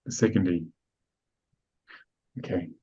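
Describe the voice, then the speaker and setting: A man's voice: deep voice, slow, warm tone, breathy edge. A man presenting a spiritual webinar, explaining step by step and often checking that his audience follows. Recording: dull lo-fi online call audio.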